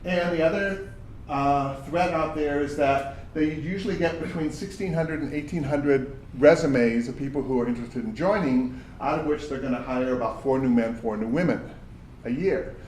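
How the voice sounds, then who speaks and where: A man with a big voice teaches a job search workshop in a plain, conversational way, filling his points with examples from his own working life. He is recorded from well off the mic, so the sound is roomy and distant. A faint steady hum sits underneath. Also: on the high side, moderate pace. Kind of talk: workshop talk to job seekers.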